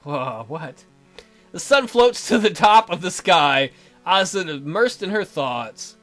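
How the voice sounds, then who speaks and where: A woman's voice: lowish voice, animated, slow, a bit bright, soft background music. A woman hosting a let's play, reading a visual novel aloud with playful, blunt, sweary casual commentary.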